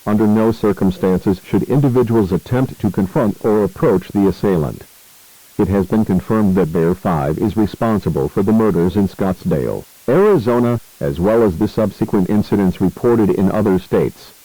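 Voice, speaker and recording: A dry, close recording of a male announcer. His voice is low and dark, and he delivers a scripted emergency alert formally, with grave, measured phrasing. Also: no room sound, rough recording.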